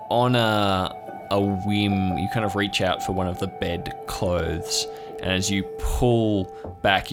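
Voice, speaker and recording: A man narrating an improvised horror tabletop game as game master, in a descriptive, suspenseful storytelling manner. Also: deep voice.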